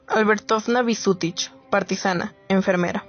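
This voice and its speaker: A woman's voice: medium pitch, fairly even intonation, moderate pace, lightly acted. An actress playing a reflective woman writer, narrating a radio drama from a script. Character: reflective woman writer gathering war memories. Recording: muffled lo-fi audio under music.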